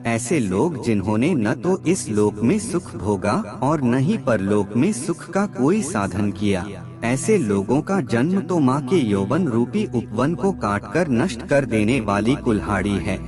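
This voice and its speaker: A man reading scripted moral aphorisms as a narrator, in a measured, formal recitation. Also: medium pitch, very animated. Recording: music bed under the voice. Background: background music.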